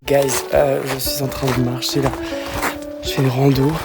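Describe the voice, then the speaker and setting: A woman's voice: very deep voice, little pitch movement, normal speed, over loud music. A young woman filming herself outdoors on a hike, talking in a breathless, jokey and self-mocking way. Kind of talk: casual handheld vlog talk.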